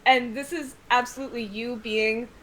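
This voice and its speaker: American woman, guest author, higher pitch, animated self-deprecating casual talk, some background noise. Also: moderate pace, poor audio. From United States. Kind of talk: casual livestream chat.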